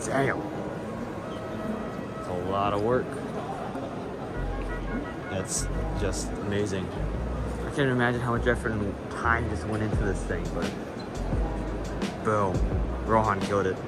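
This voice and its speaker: A man's voice: on the low side, fairly even intonation, a little slow, pauses often. A man filming himself as he walks a large indoor car show, giving casual, enthusiastic commentary on the builds with light swearing. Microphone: handheld camera, filming point of view. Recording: loud music behind, busy hall.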